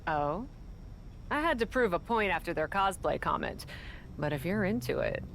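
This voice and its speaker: A lowish, hard-edged voice for a ruthless woman wrestler who runs her faction. She is curt and abrupt in game cutscene style, with clear noise behind her. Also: animated, moderate pace, a bit bright. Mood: cool, decisive. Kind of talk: video game cutscene dialogue.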